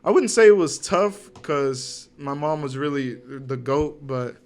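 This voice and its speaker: A man's voice: on the high side, a little slow, a little mellow. A young man of about twenty co-hosting a podcast in an informal, slangy, conversational way. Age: 20s. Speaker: podcast co-host. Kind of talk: casual chat.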